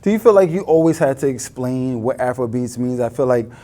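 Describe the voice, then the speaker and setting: A man's voice: medium pitch, lively intonation, brisk, dark tone. A man from New York City hosting an interview, asking questions with playful joking asides. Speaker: host.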